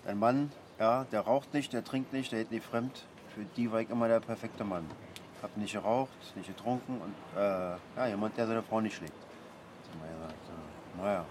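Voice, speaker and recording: An actor playing an easygoing middle-aged father in a radio drama, rambling haltingly with a Berlin accent. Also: fairly low pitch, darkish tone, natural voice. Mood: reminiscing. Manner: colloquial, halting, chatty. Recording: clear street and traffic noise.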